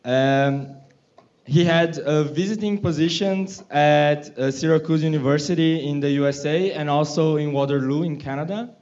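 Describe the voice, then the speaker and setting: A man's voice: on the high side, moderate pace, darkish tone, muffled recording. Hesitant man introducing a speaker. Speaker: session chair. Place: lecture hall.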